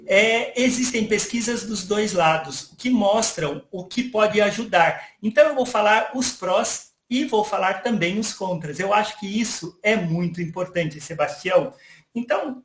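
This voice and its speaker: Guy doing a chill virtual ai boy, high voice, slow and careful, roomy echo. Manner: calm explanatory, addresses audience as "pessoal". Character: friendly virtual young male AI character. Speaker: interviewee.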